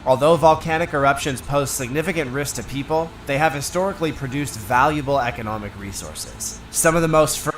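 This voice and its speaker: A man reading scripted documentary narration in a steady, informational way. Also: medium pitch, brisk, few pauses, soft background music.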